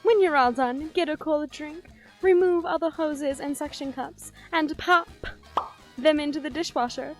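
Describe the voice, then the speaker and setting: High-voiced woman as ad announcer over music. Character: suggestive female radio advert announcer selling a gadget. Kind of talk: scripted parody radio advert. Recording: music bed under the voice.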